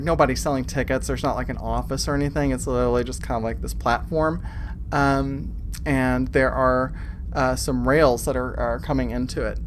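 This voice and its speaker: Halting, informal male game master, faint noise. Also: mid-range voice. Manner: halting, informal, piecing descriptions together. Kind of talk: improvised tabletop game narration.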